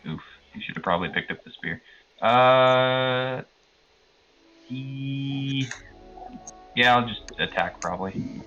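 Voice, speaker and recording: A man's voice: medium pitch, takes it slowly, pauses often, sharp tone, lo-fi sound, soft background music. A man playing a tabletop game, chatting casually and thinking aloud in a hesitant way. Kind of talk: casual chat.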